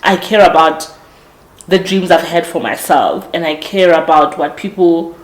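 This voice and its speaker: A woman's voice: on the low side, a little slow, echoey room, low-quality recording. A woman in her late twenties hosting a podcast, talking earnestly and reflectively, often correcting herself mid-sentence. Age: 20s. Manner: earnest, reflective, with frequent restarts and self-corrections. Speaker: podcast host. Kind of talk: casual motivational monologue.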